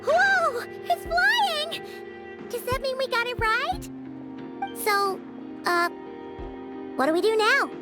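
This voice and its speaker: Tiny childlike game sidekick, very high squeaky voice, swooping intonation, chatty, grumbly, excitable. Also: female voice, exaggerated voice. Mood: excited. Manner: third-person self-reference, exclamatory. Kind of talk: animated video game voice acting.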